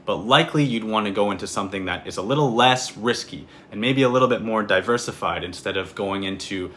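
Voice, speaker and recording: A man in his twenties from Canada, a real estate agent talking through an explainer video in a clear, steady way. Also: on the low side, a little hiss or hum. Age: 20s.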